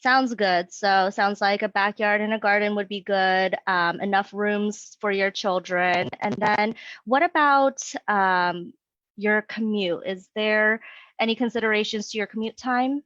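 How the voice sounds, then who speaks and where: A woman's voice: mid-range voice, measured pace, a bit bright, dry recording. Upbeat woman on a dull call. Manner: upbeat and friendly, many okays and likes. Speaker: job candidate playing a real estate agent. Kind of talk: mock sales interview role play. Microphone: video call. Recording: dull lo-fi sound, dry.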